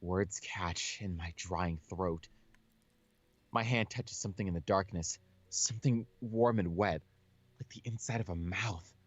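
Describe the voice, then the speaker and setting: Low-voiced man reading aloud, dull mic. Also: measured pace, breathy edge. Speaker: co-host playing and reading a visual novel aloud. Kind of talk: reading game text aloud with casual reactions.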